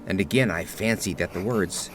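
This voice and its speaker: A man narrating gothic horror fiction in a measured, suspenseful, dramatic storytelling style, reading from a script. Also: sits low, over music, rough recording. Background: ambient sound effects.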